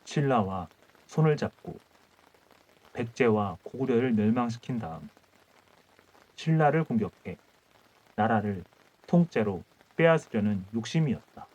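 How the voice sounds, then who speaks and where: A man narrating a folk tale as a calm audiobook reader, reading in measured short phrases. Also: medium pitch, low-quality recording. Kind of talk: calm bedtime storytelling.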